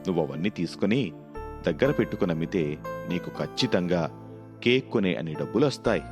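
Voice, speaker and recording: A helpful working man voiced by a male storyteller in a moral storybook tale. He speaks in a fairly low, matter-of-fact, advising way over background music. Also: animated, a little fast, long reverb tail. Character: practical, helpful male apartment worker advising a friend.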